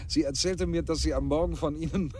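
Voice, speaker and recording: An actor in a German radio drama playing a businesslike lawyer, sceptical, dry and questioning. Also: male voice, on the high side, steady tone, brisk, darkish tone, close to an everyday voice. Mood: mocking amusement. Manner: interrogating, dry. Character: sceptical, businesslike male lawyer, dryly mocking.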